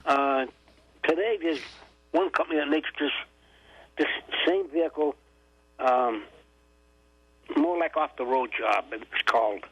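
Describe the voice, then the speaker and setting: A man's voice: on the high side, measured pace, pauses often, a bit bright, husky, no echo, muffled recording. A man with a New England accent co-hosting a radio chat, quizzing his partner on old cars with short, chatty replies. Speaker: radio co-host, trivia quizzer.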